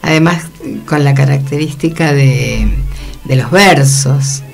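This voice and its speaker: A woman's voice: low-pitched, slow, vocal fry. A woman chatting casually in a radio studio. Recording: music playing behind the voice. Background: music.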